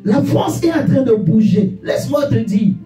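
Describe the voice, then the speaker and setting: A man's voice: very high-pitched, lively intonation, normal speed, warm tone. A man preaching a sermon in an emphatic, exhorting manner, repeating phrases and putting rhetorical questions to his listeners. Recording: echoey room, distant mic, faint music.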